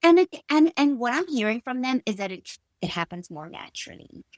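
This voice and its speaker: A middle-aged woman with a South African accent, answering in an interview with emphatic, expressive storytelling and personal anecdotes. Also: sits high, brisk, no room sound, studio quality. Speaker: guest, stylist and designer.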